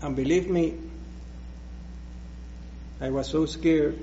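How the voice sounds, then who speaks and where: Slow, hesitant Puerto Rican preacher. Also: male voice, on the high side, fairly even intonation, dark tone, highs cut off, slight background noise. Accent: Puerto Rican Spanish. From Puerto Rico. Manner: slow, hesitant, with many restarts. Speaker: guest preacher. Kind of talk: personal testimony in church.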